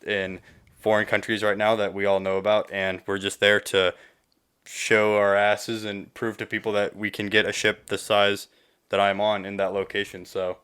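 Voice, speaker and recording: A man's voice: fairly low pitch, flat delivery, moderate pace, fairly bright tone, clear, resonant tone. A young American man chatting casually as a podcast guest, rambling through stories with joking, profane slang. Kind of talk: casual chat, rambling storytelling.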